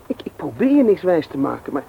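A man's voice: fairly high pitch, sing-song, quick pace, gravelly, muffled recording, slight background noise. An actor playing a nervous, defensive young man in a radio play, stammering and pleading urgently.

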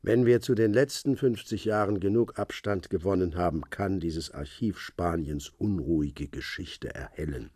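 A cryptic old spy. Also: male voice, deep voice, dark tone, breathy edge, no echo, studio quality. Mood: calm. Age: older. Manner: cryptic, measured, speaks in hints. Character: old retired secret-service man, cryptic. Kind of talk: radio play acting.

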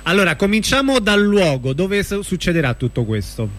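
A man's voice: high-pitched, very animated, normal speed. A man hosting a casual radio interview, putting questions to a guest as the interviewer. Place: radio broadcast.

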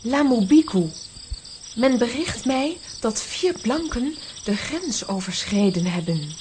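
Stately, slow-speaking princess. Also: female voice, on the high side, sing-song, a little mellow, a little hiss or hum. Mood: composed. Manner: stately, formal. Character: gracious, composed young princess at her palace. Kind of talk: children's audio play acting.

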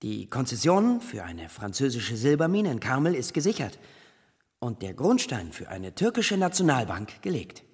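An actor playing a triumphant company director in a German radio drama, proclaiming formally. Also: male voice, mid-range voice, huge pitch swings, moderate pace, darkish tone, breathy edge, full character voice. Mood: proud, formal. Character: male company director bringing triumphant business news. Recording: long hall reverb.

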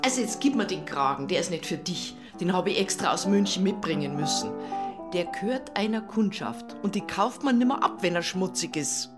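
A woman's voice: on the low side, expressive, normal speed, close to an everyday voice. An actress playing a strict, admonishing rural mother in a radio drama. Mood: scolding. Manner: admonishing, swooping. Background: guitar music.

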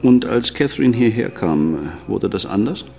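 An actor playing a blunt, probing police investigator in a German radio drama. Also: male voice, on the low side, animated, moderate pace, vocal fry, dark tone. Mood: probing, dry.

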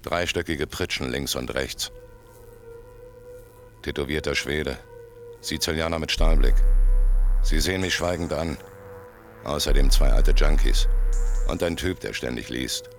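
Deep-voiced, terse male gangster. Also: a little slow, long pauses, sharp tone, faint music behind, exaggerated voice. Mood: grim, detached. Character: Dutch, a hard, laconic male gangster remembering and rambling. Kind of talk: dark first-person radio play monologue.

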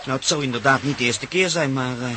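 An actor in a radio play as a brisk, practical pilot who helps fugitives get away, giving orders. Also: male voice, mid-range voice, natural voice. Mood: urgent. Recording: noisy radio drama recording.

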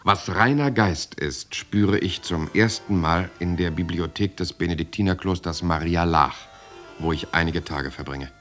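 An actor playing a reflective man narrating his restless youth in a radio drama, with emphatic, measured phrasing. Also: low voice, a bit bright, faint music behind. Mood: reverent. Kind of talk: dramatic first-person narration, German radio play.

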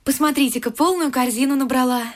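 A woman's voice: high-pitched, quick pace, natural voice. A woman playing a carefree, exuberant young country noblewoman in a classic vaudeville radio play, chirpy and girlish. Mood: carefree, eager.

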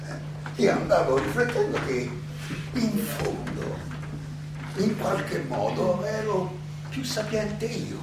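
A very echoey, distant recording of a wry philosopher pleading his case on stage. The voice is high and slow, halting for rhetorical effect as it addresses the audience. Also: heavily acted. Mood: reflective, wry. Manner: halting, rhetorical, with dramatic pauses and repetitions. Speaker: stage actor. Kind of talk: theatrical monologue addressed to an audience. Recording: echoey hall, distant mic, clear noise.

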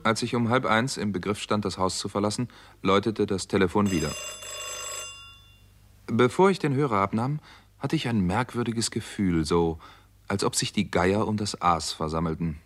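Low-voiced, brooding doctor played by a man. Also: moderate pace, slight background noise, lightly acted. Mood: brooding, tense. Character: wary, brooding American doctor narrating his own case. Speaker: radio drama actor, lead and narrator. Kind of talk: 1960s German radio crime drama acting.

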